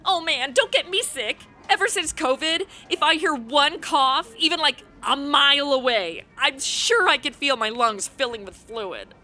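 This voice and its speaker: Woman as a bright, high-voiced brash publicist. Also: expressive, measured pace, few pauses, soft background music, full character voice. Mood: sardonic. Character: brash, self-promoting young publicist, an influencer. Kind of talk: comic radio play acting.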